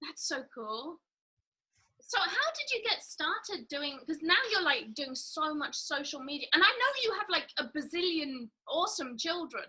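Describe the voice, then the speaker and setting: Bubbly British woman with a lively voice. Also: high voice, moderate pace, poor audio. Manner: bubbly and animated, asking eager questions. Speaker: host. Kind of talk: casual video chat.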